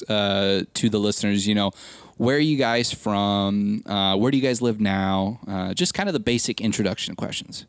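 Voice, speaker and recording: A man's voice: mid-range voice, no room sound. A young man from Nebraska hosting a podcast, chatting casually with upbeat, rambling enthusiasm. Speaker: podcast host.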